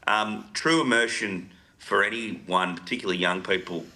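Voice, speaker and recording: A man's voice: lowish voice, normal speed, pushed and bright. A man on a parliamentary committee, friendly and light-hearted as he puts questions to witnesses. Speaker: committee member. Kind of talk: asking questions at a hearing.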